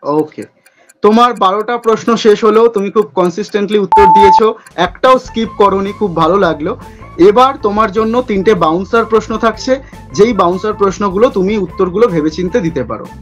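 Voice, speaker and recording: A man hosting a playful quick-fire question segment in a chatty interview style. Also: high voice, faint music behind.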